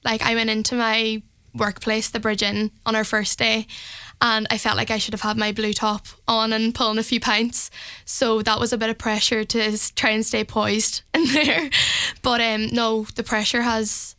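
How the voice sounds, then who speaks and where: A woman of about twenty, a relaxed and modest radio guest. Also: on the high side, moderate pace, clear, resonant tone. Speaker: festival contestant guest.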